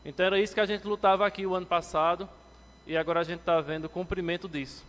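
A man in his thirties, from Brazil, delivers a formal floor speech to a city council, addressing the chair. His voice sits a bit high and climbs further when he turns critical. The lectern mic picks up the long ringing reverb of the council chamber. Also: steady tone, rapid-fire, a bit bright. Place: council chamber, indoors, medium-sized. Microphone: lectern microphone, standing.

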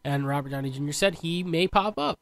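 A man's voice: a little high, fairly quick, a bit bright. Congested man co-hosting a geek podcast. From upstate New York. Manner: easygoing, chatty with fillers. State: congested, stuffy. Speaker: podcast co-host. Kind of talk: casual chat.

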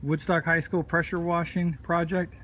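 A man's voice: fairly high pitch, little pitch movement, moderate pace, sharp tone. A man talking casually and matter-of-factly as he walks a job site, with fillers and restarts, recorded on a phone outdoors in a stadium. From United States. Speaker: pressure washing contractor. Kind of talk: casual walkthrough narration. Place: outdoor high school stadium stands.

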